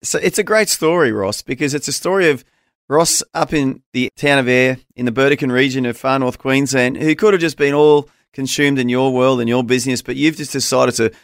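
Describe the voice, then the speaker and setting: A man's voice: mid-range voice, brisk, no echo, studio quality. An Australian man working as a radio interview host, speaking in an affirming, conversational way that prompts his guest.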